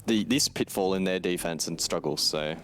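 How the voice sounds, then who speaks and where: A man's voice: low-pitched, moderate pace. A man giving live tactical commentary on an esports match in a casual, analytical, wry way. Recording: gunfire and game noise behind. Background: video game gunfire and clicks.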